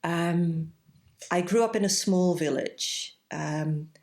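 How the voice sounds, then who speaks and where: A woman in her forties with a Belgian accent, a podcast guest speaking reflectively and pausing often. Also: lowish voice, darkish tone. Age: 40s. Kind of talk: casual interview conversation.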